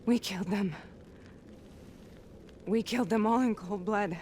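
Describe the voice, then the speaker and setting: An actress playing a troubled, haunted soldier in a video game, earnest and emotionally raw. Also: female voice, medium pitch, a little slow, a little mellow, slight background noise, close to an everyday voice. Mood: distraught, panicking. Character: troubled female soldier, haunted, doubting her sanity. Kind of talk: dramatic video game acting.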